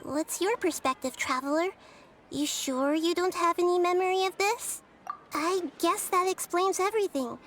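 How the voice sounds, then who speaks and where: Very high-voiced young female guide. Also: moderate pace, a little hiss or hum. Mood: calming, inquiring. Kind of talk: animated video game voice acting. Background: background music.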